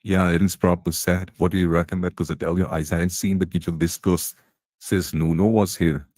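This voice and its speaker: Bloke doing a canteen tea lady, gossipy, real deep voice, dry sound. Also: flat delivery, normal speed, a little mellow, dry recording. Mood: grumbling. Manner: gossipy, chatty, blunt slang.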